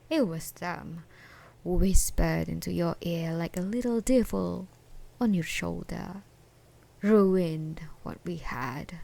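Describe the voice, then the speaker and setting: A woman's voice: on the low side, gravelly, full character voice. A woman acting an obsessed, menacing ex-girlfriend in an ASMR roleplay, with a mock-tender, taunting manner. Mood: bitter, brooding. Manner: mock-tender, taunting, breaking into whispers and laughs. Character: obsessed, menacing yandere ex-girlfriend stalking her lover.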